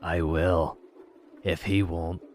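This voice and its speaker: A man's voice: deep voice, little pitch movement, takes it slowly, a little mellow, slight background noise. A man playing a smug, cruel conspirator in an audio drama, mocking and condescending. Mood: smug taunting.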